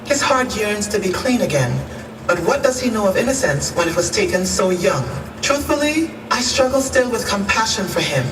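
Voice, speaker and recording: A woman's voice: mid-range voice, big pitch swings, moderate pace, lightly acted. A woman playing a solemn, compassionate narrator in a radio drama. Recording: echoey, distant, music behind.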